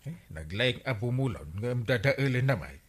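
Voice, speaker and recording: A man's voice: mid-range voice, expressive, moderate pace, close to an everyday voice. A man playing a fussy, whiny neighbor who complains with exasperated exclamations in an Ilocano comedy radio drama. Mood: exasperated, whining.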